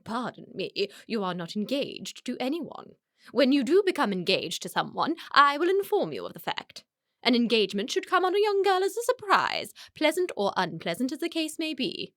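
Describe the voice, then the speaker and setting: A haughty, formidable older aristocratic mother played by a woman in a radio comedy. Her upper-class English voice swoops grandly up and down with pompous, drawn-out emphasis. The recording is close and dry. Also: medium pitch, animated, dry recording, close to an everyday voice. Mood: imperious outrage. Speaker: actor in a radio play. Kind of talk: theatrical comedy-of-manners radio acting.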